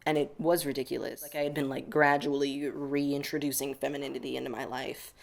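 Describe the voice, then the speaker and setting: A man's voice: mid-range voice, flat delivery, fairly quick, vocal fry. A young man from the United States, answering interview questions in a reflective, casual way, often saying "like". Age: young adult. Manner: reflective, many filler "like"s.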